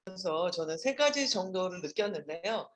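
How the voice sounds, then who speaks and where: Woman, low voice, very animated pitch, rapid delivery, forum panelist. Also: reverberant. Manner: rapid, lively, pausing to rephrase. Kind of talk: panel discussion remarks.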